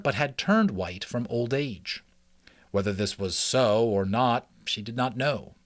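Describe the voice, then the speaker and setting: A man's voice: mid-range voice, no echo. A man reading a children's novel aloud as an amateur audiobook narrator, with dramatic storytelling delivery.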